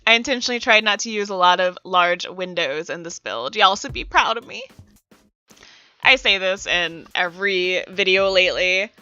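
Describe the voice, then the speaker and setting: A woman's voice: lowish voice, expressive, moderate pace, sharp tone, clean tone, no room sound. A woman with a congested voice, getting over a cold, narrating a build video in a chatty way and talking straight to her viewers. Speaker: video game build creator. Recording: dull lo-fi voice-over with music behind.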